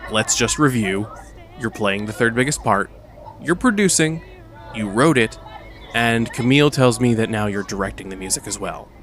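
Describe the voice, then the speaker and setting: A frazzled superhero stage director played by an actor in an audio drama. The voice sits at a middle pitch and is businesslike and blunt, with an exasperated edge, in a natural conversational style. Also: animated, normal speed, a bit bright, some chatter behind, lightly acted. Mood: pointed. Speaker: director of a musical in a story.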